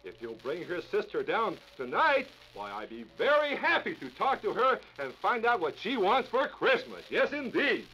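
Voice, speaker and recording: A man's voice: high-pitched, very animated, moderate pace, hardly any pauses, sharp tone, exaggerated voice. A man playing a jovial, kindly old Santa Claus in a hearty, formal, emphatic way on live 1950s radio. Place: toy store live radio broadcast. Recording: dull, noisy 1950s live radio recording.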